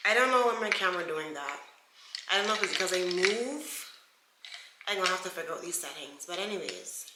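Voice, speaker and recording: A woman's voice: lowish voice, animated, brisk, echoey room, heavy background noise. A young woman from Barbados with a Barbadian accent, chatting casually to camera in a rambling way and calling her viewers guys. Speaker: beauty vlogger.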